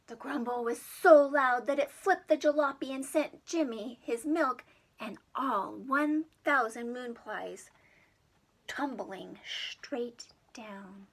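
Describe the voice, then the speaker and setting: A woman reading a storybook to young children as a preschool storyteller, dramatic and expressive. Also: a little high, slow pace. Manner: dramatic, with whispered asides to young listeners.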